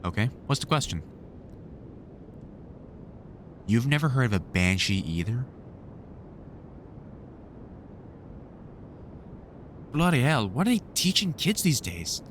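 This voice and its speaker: A man playing a weary, kindly vampire hunter in an audio roleplay, talking to the listener in a halting, informal way with dry humour. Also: mid-range voice, big pitch swings, a little hiss or hum, natural voice. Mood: teasing disbelief.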